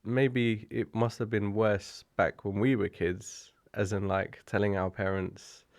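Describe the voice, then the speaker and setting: A man's voice: on the low side, little pitch movement, measured pace. A man co-hosting a podcast, easygoing and chatty. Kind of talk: casual podcast chat.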